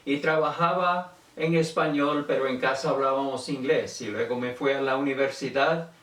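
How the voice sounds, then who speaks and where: A man's voice: medium pitch, steady tone, moderate pace, a bit bright. An older man from Venezuela giving an informal autobiographical talk in a reflective, conversational manner. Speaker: speaker telling his family language history. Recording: echoey room, distant microphone.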